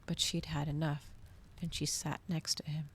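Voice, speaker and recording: A woman streaming an ASMR storybook reading in a hushed voice that often slips into whispers. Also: deep voice, flat delivery, moderate pace, a little hiss or hum.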